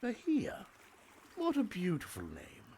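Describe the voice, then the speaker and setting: An actor playing a roguish but fond sea captain with a courtly, salty, theatrical manner, in a period audio drama. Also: male voice, high-pitched, huge pitch swings, unhurried, a little mellow, a little hiss or hum, full character voice. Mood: jovial.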